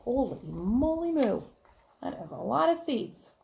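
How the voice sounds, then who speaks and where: A woman's voice: sits high, very animated, unhurried, a little mellow, slight background noise. A woman reading a children's story aloud like a teacher, expressively, with chatty asides to the listeners. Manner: clear storytelling with acted-out dialogue and questions to listeners.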